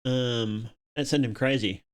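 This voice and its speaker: A man's voice: mid-range voice, fairly even intonation, measured pace, smooth. A man chatting off the cuff as a live streamer, musing aloud and often trailing off as he rambles. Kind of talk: casual off-the-cuff livestream chat.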